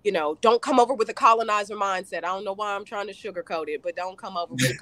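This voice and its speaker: A woman's voice: medium pitch, bright, forceful tone, dry recording. A woman, a guest in a casual conversation, speaking with passion and emphasis. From United States. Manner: passionate, emphatic, speeds up and slows down.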